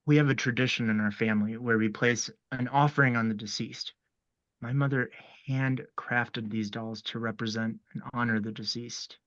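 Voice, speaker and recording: A man playing a polite, sinister cult son in a horror script read, formal and calmly menacing. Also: medium pitch, normal speed, no room sound, dull audio, natural voice. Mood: politely sly.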